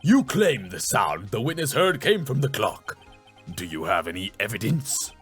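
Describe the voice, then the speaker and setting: A man's voice: on the low side, sing-song, moderate pace, fairly bright tone, faint music behind, pitched below the performer's own voice. A man voicing a stern, solemn elderly male judge in a video game, speaking with ponderous authority. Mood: solemn, inquiring. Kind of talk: video game character dub.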